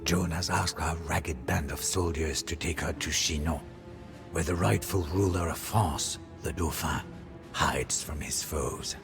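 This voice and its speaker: A man playing a weary, devoted medieval French soldier who narrates game cutscenes in a grave, theatrical, measured way, with a gravelly, breathy voice. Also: extremely low pitch, exaggerated voice. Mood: somber, weary. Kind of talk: dramatic scripted storytelling for game cutscenes. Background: music.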